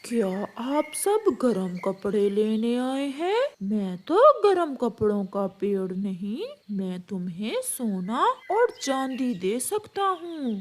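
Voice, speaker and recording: A woman voicing a calm, magical male berry tree, measured and formal, in a cartoon tale. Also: on the high side, heavily acted. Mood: stately.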